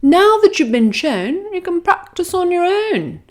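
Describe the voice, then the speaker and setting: A woman voicing a bossy female dragon schoolteacher who gives orders in a loud, high voice. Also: sing-song, unhurried, exaggerated voice. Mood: commanding.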